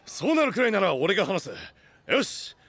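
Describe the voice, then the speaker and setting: A man playing a rough, big-brotherly young knight who speaks in blunt slang in an anime-style audio drama. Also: high voice, sing-song, moderate pace, fairly bright tone, dry recording, exaggerated voice. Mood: flustered, protesting.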